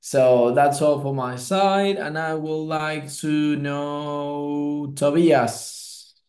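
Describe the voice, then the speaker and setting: Man, measured slow pace, echoing room, self-introduction. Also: fairly high pitch, steady tone, a little mellow. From Argentina. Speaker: attorney, team leader. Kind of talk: self-introduction on video call. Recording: echoey room on online call.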